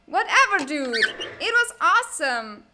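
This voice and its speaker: Guy doing high voiced teen cadet boy, earnest n frustrated, slow talker, comic dub. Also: big pitch swings, bright, forceful tone, full character voice. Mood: cheeky, animated. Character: earnest, frustrated 16-year-old military academy boy. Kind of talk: animated comic fan dub.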